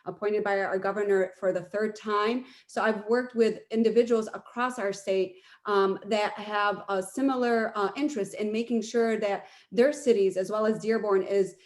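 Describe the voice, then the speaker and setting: A woman's voice: medium pitch, fairly even intonation, normal speed, few pauses, a little mellow. A middle-aged woman, a political candidate, answering a question at a forum. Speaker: mayoral candidate, attorney.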